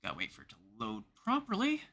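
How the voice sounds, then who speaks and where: A man's voice: sits high, huge pitch swings, fast, sharp tone. A man from Canada chatting casually as he livestreams a game.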